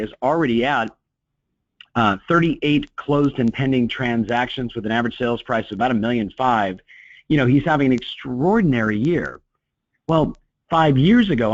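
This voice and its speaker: A middle-aged man coaching real estate agents in a webinar, talking in an energetic, motivational way with lots of "you know" fillers. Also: mid-range voice, dry recording. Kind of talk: webinar talk. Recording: dull, dry lo-fi webinar audio.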